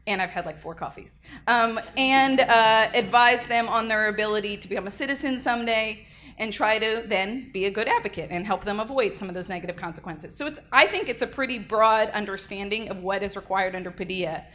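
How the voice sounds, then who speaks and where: A young adult woman, a defense attorney, giving an energetic conference lecture into a microphone. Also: mid-range voice, fairly quick. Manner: energetic, lively, self-described loud. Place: conference room. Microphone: handheld or podium mic.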